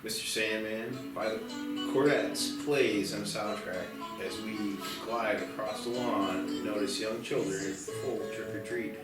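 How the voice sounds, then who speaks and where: Low-voiced male narrator, very echoey. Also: fairly even intonation, unhurried. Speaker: narrator reading stage directions. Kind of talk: scripted narration at a table read. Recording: very echoey, distant mic, music behind. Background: music.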